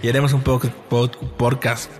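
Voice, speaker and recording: A Mexican man chats casually as a podcast co-host. His voice sits at an average pitch, and he rambles in a joking, slangy way. Faint music plays behind him. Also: level tone, moderate pace. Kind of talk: casual humorous podcast chat.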